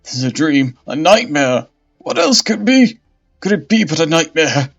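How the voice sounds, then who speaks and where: A man's voice: big pitch swings, normal speed, vocal fry, husky, exaggerated voice. A man voicing a cowardly old male bishop who shrieks, stammers and begs in terror. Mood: denial. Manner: shrill, stammering, begging. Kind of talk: hobby voice acting of a dark fantasy scene. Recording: dull lo-fi audio with faint music.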